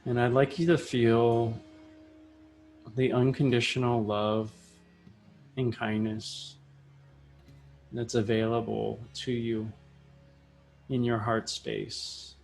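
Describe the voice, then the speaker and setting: A man leading a guided meditation in a soothing style, speaking in short, measured phrases with long pauses. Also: medium pitch, flat delivery, gravelly. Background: soft plucked guitar music.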